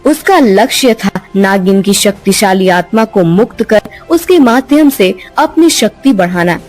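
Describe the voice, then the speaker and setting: A woman's voice: medium pitch, measured pace, soft background music. Woman narrating a cartoon tale. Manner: steady storytelling. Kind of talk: scripted story narration.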